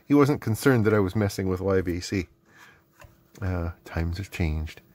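A man's voice: low-pitched, expressive, a little slow, a little mellow, dry recording. A middle-aged man with a Canadian accent, narrating casually and unscripted at his workbench in a rambling, self-deprecating way. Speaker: host of an electronics hobby video series. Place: indoor hobby electronics workbench. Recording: clearly noisy background with clicks.

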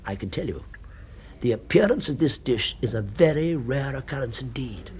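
An actor playing a solitary, fussy gourmet in a 1970s radio drama, speaking formally and pompously with precise diction. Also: male voice, mid-range voice, expressive, moderate pace, darkish tone, lightly acted. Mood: confiding, grave. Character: solitary, fussy male gourmet, solemn and devoted. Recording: 1970s radio recording with background noise.

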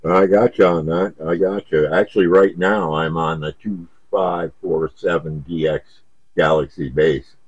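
A man's voice: low-pitched, fairly even intonation, measured pace, slightly rough, no room sound, lo-fi sound. A man chatting casually over CB radio in an easygoing, folksy, hesitant way. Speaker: CB radio operator. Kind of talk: casual radio contact chat.

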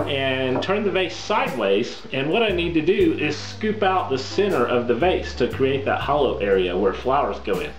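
Relaxed man explaining a woodworking project. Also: on the high side. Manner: relaxed, plain-spoken, self-deprecating. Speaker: woodworking channel host. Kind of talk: casual how-to narration. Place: home woodworking shop. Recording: roomy shop with music bed. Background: guitar background music.

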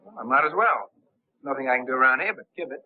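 Breezy young playboy gambler played by a man, quick, lively, flippant; thin 1930s film audio. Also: mid-range voice, lightly acted. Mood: breezy, wry. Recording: muffled, tinny 1930s film soundtrack.

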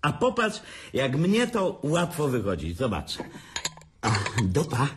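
A drunk, foul-mouthed older brother, played by a man in a radio drama, slurring his taunts with a pitch that leaps up and down all the time. his voice sits a little high for a man, and he keeps the crude mocking going at his sibling. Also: wildly swooping pitch, takes it slowly, noisy background, exaggerated voice. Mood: taunting. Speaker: actor in radio play.

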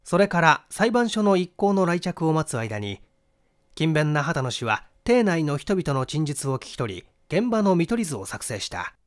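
A man narrating a mystery novel in a theatrical, deliberate storytelling manner. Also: fairly high pitch, big pitch swings. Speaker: audiobook narrator and stage actor.

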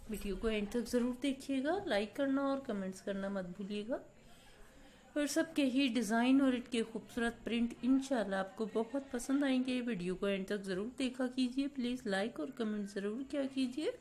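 A woman's voice: a little high, moderate pace, darkish tone. A woman hosting a channel, speaking in a formal, devout voice-over full of blessings. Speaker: channel host. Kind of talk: scripted voice-over showcase.

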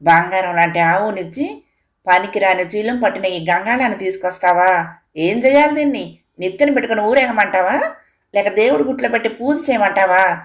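A woman's voice: mid-range voice, moderate pace, lightly acted. A woman voicing an exasperated old village wife in a storybook tale, with a scolding, sarcastic manner. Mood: angry, sarcastic.

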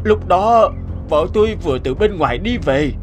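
A man voicing a meek, anxious old shopkeeper in an animated moral tale, speaking hesitantly. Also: high-pitched, normal speed, soft background music. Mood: shaken recounting.

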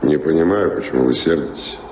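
Актёр радиоспектакля играет усталого бродячего певца, задумчивого и загадочного, с хрипловатым скрипучим голосом. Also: male voice, very deep voice, fairly quick, darkish tone. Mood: calm, evasive. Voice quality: gravelly. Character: weary wandering singer, a pilgrim, wistful and enigmatic. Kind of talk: radio drama acting. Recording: echoey hall with background noise.

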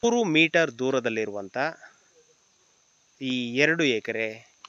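A man narrating a property sales video, phrase by phrase with measured pauses. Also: medium pitch, expressive, bright, forceful tone, no room sound, muffled recording. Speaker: property agent presenting a farm for sale.

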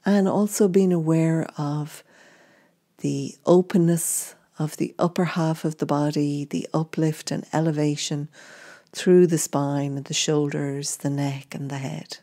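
A woman gently guiding a meditation in short, unhurried phrases. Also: low voice, fairly even intonation. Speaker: meditation guide. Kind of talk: guided meditation narration.

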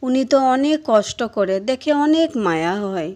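A woman's voice: fairly high pitch, sing-song, moderate pace, heavy background noise. A woman playing a caring village housewife, the wife of a woodcutter, in an amateur cartoon dub. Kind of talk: amateur Bengali cartoon dubbing.

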